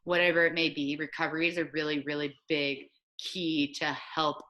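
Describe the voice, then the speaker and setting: A woman's voice: low voice, level tone, moderate pace, a bit bright. A young woman in her twenties, a soccer coach, chatting casually and upbeat on a video call as a podcast guest. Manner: chatty, upbeat, frequent "like" fillers. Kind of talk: casual remote interview.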